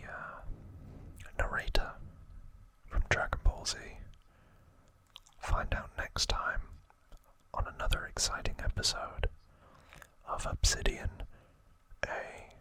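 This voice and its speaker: A man whispering softly and breathily as an ASMR host, narrating trivia in short, unhurried phrases.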